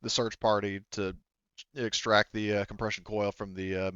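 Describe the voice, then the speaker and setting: A man narrating a tabletop roleplaying game as game master, in a halting, conversational way with asides. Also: fairly low pitch, little pitch movement, fairly bright tone, clear, resonant tone, no room sound, dull audio.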